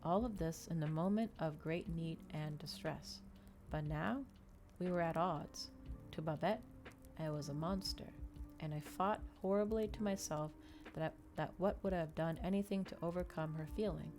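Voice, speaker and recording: A woman reading a novel aloud in a soft, measured ASMR storytelling style. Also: low-pitched, soft background music.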